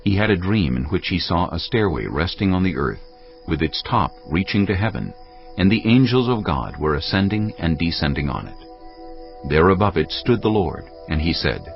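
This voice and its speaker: Very deep, gravelly male narrator. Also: very animated, moderate pace. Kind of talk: solemn scripted scripture narration. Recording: dull sound, music clearly behind.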